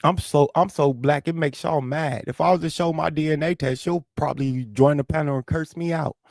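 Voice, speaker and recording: Man with a lisp, gravelly and combative. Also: on the high side, steady tone, fairly quick, darkish tone, no echo, studio quality. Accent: St. Louis, American South Midwest. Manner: confrontational, profane, addresses chat commenters directly. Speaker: livestream host. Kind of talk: heated livestream rant, answering chat.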